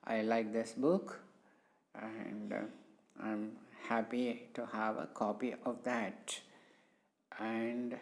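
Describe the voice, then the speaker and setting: A middle-aged man with an Indian English accent, a native Tamil speaker, presenting his research in a hesitant way, with many pauses and fillers. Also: fairly low pitch, level tone, vocal fry. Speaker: civil engineering professor presenting his research. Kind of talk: slow, hesitant screen-recorded talk.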